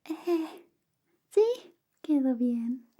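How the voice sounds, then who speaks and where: A woman speaking softly and often whispering in an ASMR roleplay, playing an affectionate, playful best friend. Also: sits high, sing-song, very slow. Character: affectionate, clumsy female best friend giving a shave. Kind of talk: ASMR personal attention roleplay.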